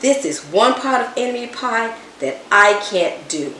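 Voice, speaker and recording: A woman voicing a calm, secretive, knowing father in a children's story, speaking in a measured, confiding way. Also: a little high. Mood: coaxing, earnest. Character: calm, secretive, knowing dad of a young boy. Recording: roomy echo, distant mic, background noise.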